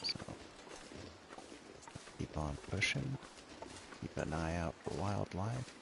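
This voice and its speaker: Very gravelly low-voiced man, noisy background. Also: very animated, slow pace. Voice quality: gravelly, soothing smoker's voice. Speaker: game streamer. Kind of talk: casual survival game commentary.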